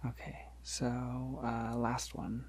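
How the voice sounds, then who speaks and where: A man playing a polite, gentle vampire in an ASMR roleplay, speaking softly and hesitantly, often breathy and near a whisper. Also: mid-range voice, monotone, takes it slowly. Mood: sheepish, polite. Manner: hesitant, reassuring, softly chuckling. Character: polite, awkward, gentle young male vampire. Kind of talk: ASMR roleplay, soft-spoken and intimate.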